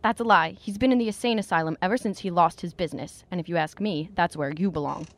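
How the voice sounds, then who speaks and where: An actress in a radio drama playing a hard, embittered boarding-house keeper, cold, curt and dismissive. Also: female voice, on the low side, quick pace, lightly acted. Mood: cold suspicion.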